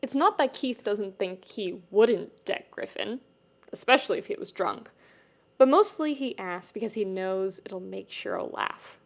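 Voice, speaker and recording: A woman reading a scripted story as a podfic narrator, with expressive, intimate storytelling. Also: medium pitch, unhurried, gravelly.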